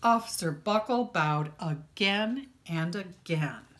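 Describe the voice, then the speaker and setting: A woman reading a picture book aloud to children as a librarian, with expressive storytelling and deliberate, dramatic emphasis on key words. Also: lowish voice, slight background noise.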